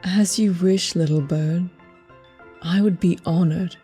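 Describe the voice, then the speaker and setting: Woman as a slow, composed android woman. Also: fairly low pitch, fairly even intonation, mellow, faint music behind. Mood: tender, formal. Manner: measured, formal. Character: composed, formal android woman, quietly tender. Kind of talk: radio drama acting.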